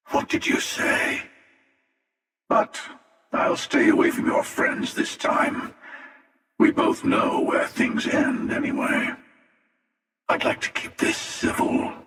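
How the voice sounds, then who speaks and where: Sardonic older villain in a sci-fi game: world-weary rasp, wildly swinging pitch, heavily echoing. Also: male voice, fairly bright tone, exaggerated voice. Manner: slow, deliberate, sardonic, taunting. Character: world-weary, menacing older male villain, immortal spacefaring hunter. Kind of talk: video game character dialogue. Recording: echoey voice with long reverb, distant.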